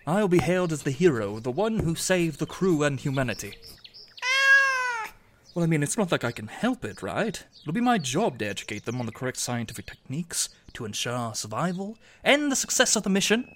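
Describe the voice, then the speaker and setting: An actor playing a pompous, insecure nerdy scientist in a science fiction audio drama. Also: male voice, a little high, big pitch swings, brisk, a little hiss or hum. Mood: smug, unsure. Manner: pompous, self-doubting. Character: conceited, insecure nerdy scientist on a space crew.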